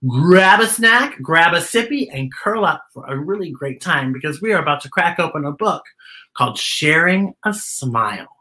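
A middle-aged man presents a story for small children with theatrical flair. His voice is bright and forward, and it swings widely up and down. He plays up his phrases and talks straight to the young listeners. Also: on the high side, animated, moderate pace. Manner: theatrical, playful, exaggerated delivery for children. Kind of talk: children's read-aloud with chatty asides. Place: living room, indoors.

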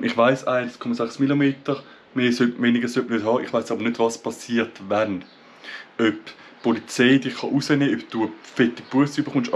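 A young man with a Swiss German accent talks straight into a camera held at arm's length in his small home office. His voice sits at an ordinary pitch, and he rambles loosely with fillers and restarts. A faint hum of room ambience lies underneath. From Switzerland. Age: 20s. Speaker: vlogger. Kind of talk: casual chat to camera.

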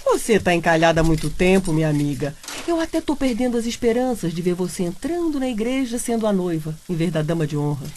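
An actress with a Brazilian accent playing a meddling, teasing wife and matchmaker in a comic radio soap. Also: female voice, on the low side, big pitch swings, fast talker, few pauses, darkish tone, a little hiss or hum. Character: meddling, teasing married woman, eager matchmaker.

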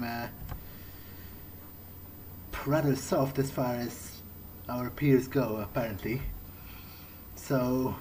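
A man's voice: medium pitch, darkish tone. Hesitant male gamer over noisy game ambience. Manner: hesitant, thinking aloud. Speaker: gamer playing in character. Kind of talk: improvised in-character video game roleplay. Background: video game ambience.

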